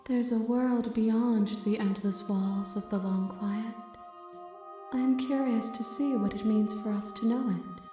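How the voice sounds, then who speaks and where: A woman voicing a calm, ominous, otherworldly princess being who speaks in a hushed, prophetic way. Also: fairly high pitch, a little slow, music in the background, lightly acted. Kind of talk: scripted game voice acting. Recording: heavy reverb game voice.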